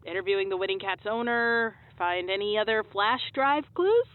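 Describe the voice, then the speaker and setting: A woman's voice: mid-range voice, lively intonation, normal speed, natural voice. Woman playing a dry colleague, phone-like sound. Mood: dry, polite. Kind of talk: naturalistic comedy audio drama acting. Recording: thin phone-like band.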